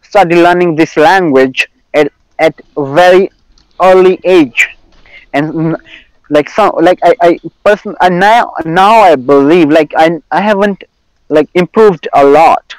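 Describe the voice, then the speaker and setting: A fairly high-pitched young man speaks with an Indian accent, his native language being Hindi. He chats as a language learner, halting, restarting and doubling words while he searches for the next one. The sound is close and dry, with little room. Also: clean tone, no room sound, rough recording. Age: young adult. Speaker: English learner in online conversation. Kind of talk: casual chat.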